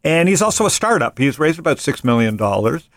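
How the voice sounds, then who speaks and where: A man hosting a casual finance podcast, loose and joking, rambling with quick asides. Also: on the high side, sing-song, no echo. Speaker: podcast host and venture investor.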